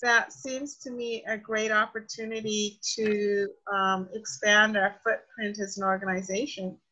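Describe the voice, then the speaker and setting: A woman's voice: mid-range voice, little pitch movement, a little slow, sharp tone. A woman speaking up informally in an online meeting, over lo-fi video-call audio. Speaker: meeting participant. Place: indoors on a group video call.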